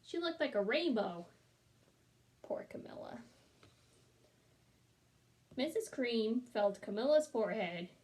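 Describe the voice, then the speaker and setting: A woman's voice: on the high side, big pitch swings, slow, pauses often, darkish tone. A woman reading a children's storybook aloud expressively, with little asides to the listener.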